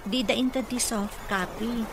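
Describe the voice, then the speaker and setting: A woman's voice: on the high side, steady tone, measured pace, background noise. A woman acting a teasing, efficient office colleague in an Ilocano radio comedy drama, fairly matter-of-fact.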